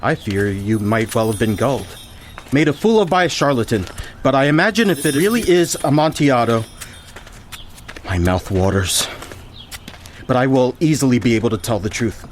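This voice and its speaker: An actor playing a vain, haughty wine connoisseur in a gothic radio drama, speaking in a pompous, dismissive, theatrical way. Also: male voice, mid-range voice, expressive, moderate pace, long pauses, slight background noise, lightly acted. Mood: smug, condescending.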